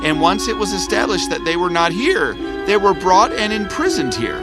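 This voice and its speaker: A man playing a confident, sharp young detective in an animated story, speaking deliberately and with authority. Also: high voice. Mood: confident explaining. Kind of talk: dramatic cartoon story acting. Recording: background music under the voice.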